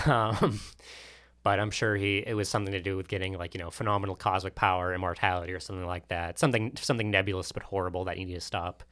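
A man's voice: low voice, fairly even intonation, fast, a bit bright. A man co-hosting a podcast, talking through a film in a casual, rambling way with frequent asides. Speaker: podcast co-host. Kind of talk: casual movie discussion.

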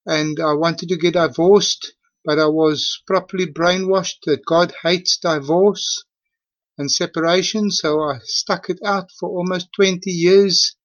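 Slow, high-pitched South African man in his fifties. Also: a bit bright. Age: late 50s. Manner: earnest, halting, emphatic, repeats himself. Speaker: voicemail caller. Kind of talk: personal testimony in a voicemail message. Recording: dull lo-fi voicemail audio.

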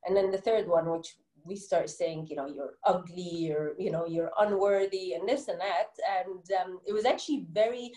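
A woman's voice: lowish voice, moderate pace, few pauses, mellow, roomy sound, poor audio. A middle-aged woman from Egypt with an Egyptian English accent, an author answering interview questions earnestly, with many "you know" fillers. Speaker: children's book author, guest.

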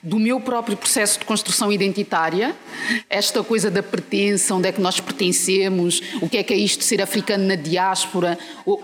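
A woman born in Mozambique and raised in Portugal, with a European Portuguese accent, speaking as an interview guest in a measured, thoughtful way with frequent hesitations. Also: medium pitch. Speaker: guest, founder of a professional network. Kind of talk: casual interview conversation. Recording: recording with clear background noise.

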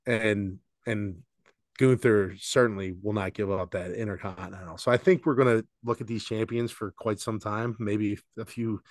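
A man with a lowish voice chats on a podcast in a halting, easygoing way, stopping and restarting often. The sound is close and dry. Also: dry recording. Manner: hesitant, many fillers and restarts. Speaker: podcast co-host. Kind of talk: casual wrestling podcast chat.

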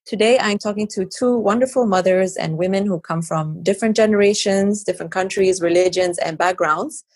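A woman hosting a podcast interview, speaking in a conversational way with gentle prompts. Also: mid-range voice, a little fast, no echo. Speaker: podcast host.

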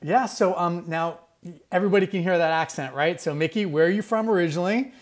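A man's voice: high voice, quick pace. A man co-hosting a tech podcast, chatty and enthusiastic. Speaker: podcast co-host. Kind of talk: casual podcast chat.

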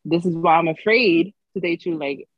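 A woman co-hosting a casual group chat, frank and animated, swearing freely. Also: lowish voice, moderate pace, dry recording, lo-fi sound.

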